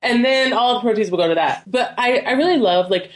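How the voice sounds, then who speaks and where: A woman's voice: a little high, fairly quick. A woman co-hosting a casual podcast who talks enthusiastically, gushing and filling her sentences with "like". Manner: enthusiastic, gushing, many "like" fillers. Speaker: podcast co-host. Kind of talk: casual chat.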